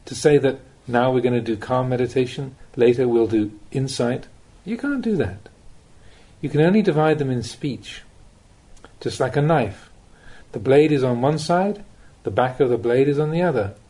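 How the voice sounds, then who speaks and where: Measured man reading teachings, lively intonation. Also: mid-range voice. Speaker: Buddhist monk reading recorded teachings. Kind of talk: audiobook reading of a Dhamma talk.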